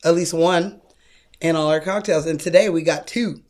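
A woman's voice: sits low, steady tone, normal speed, darkish tone. Informal, sweary woman explaining things casually. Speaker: podcast co-host. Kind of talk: casual chat.

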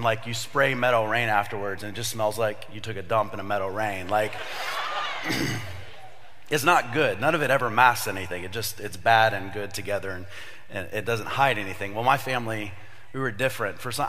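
A man in his thirties, a pastor with a slightly raspy voice from a cold, giving a casual, joking sermon to young adults. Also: on the low side, bright, forceful tone, in a large hall. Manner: chatty storyteller, jokey asides, many fillers.

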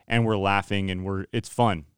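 Deep-voiced man hosting a chatty podcast. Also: steady tone, moderate pace, a bit bright, smooth, no echo, clean studio sound. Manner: rambling, restarts often, self-deprecating. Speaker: podcast host. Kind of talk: casual chat.

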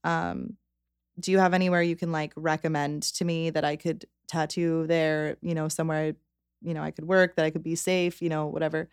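A woman's voice: low voice, normal speed, clear, resonant tone, no room sound, studio quality. A woman in her twenties from Connecticut, a tattoo artist talking casually as a podcast guest, full of "like" fillers and quoting herself. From Connecticut, United States. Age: 20s. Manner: chatty, frequent "like" fillers, quotes herself in lively bursts. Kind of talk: casual conversational interview.

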